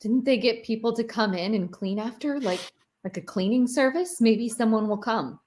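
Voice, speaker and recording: A woman's voice: fairly high pitch, normal speed, a little mellow, slight background noise, natural voice. A woman playing an anxious, faintly hopeful survivor in a live table read of a horror script. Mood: anxiously hopeful.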